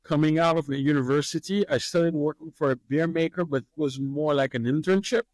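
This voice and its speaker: A man, a master distiller answering interview questions in a relaxed, hesitant way with many fillers and easy laughs. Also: a little high, brisk, dry recording. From Haiti.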